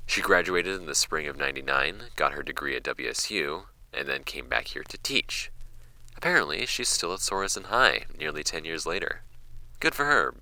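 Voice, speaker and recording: A man playing a skeptical archivist in an audio drama, reading case files aloud in a creaky voice. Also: pushed and bright, heavily acted, poor audio. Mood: dry, wry. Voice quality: creaky, very deep. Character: dry, skeptical male archivist recording case notes. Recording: music bed under the voice.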